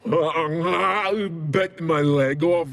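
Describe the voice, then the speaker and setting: An actor playing a cursed, savage werewolf in a video game, with a guttural growl and halting, laboured, tormented delivery. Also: male voice, on the high side, creaky, a bit bright, exaggerated voice. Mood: anguished boasting. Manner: halting, laboured, broken phrases. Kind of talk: dramatic video game acting.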